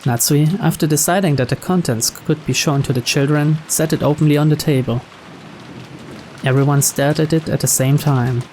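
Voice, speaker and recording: Slightly high-voiced man narrating a story. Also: fairly even intonation, normal speed, a little mellow, a little hiss or hum. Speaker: narrator of a visual novel playthrough. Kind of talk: scripted first-person story narration. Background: music and rain.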